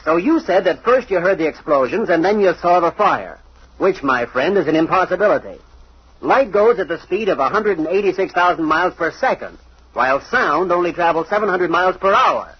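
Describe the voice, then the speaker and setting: A man's voice: high voice, lively intonation, fairly quick, slightly rough. An actor playing a smug, self-assured know-it-all in an old radio mystery, lecturing as he picks apart a story. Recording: dull old radio recording.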